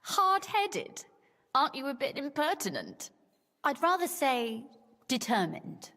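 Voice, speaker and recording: A woman's voice: high voice, big pitch swings, unhurried, sharp tone, exaggerated voice. An actress playing a young, curious noblewoman in a video game, speaking in a genteel, articulate and teasing manner. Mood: playful teasing. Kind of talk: video game dialogue acting. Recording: long reverb tail, faint game music behind.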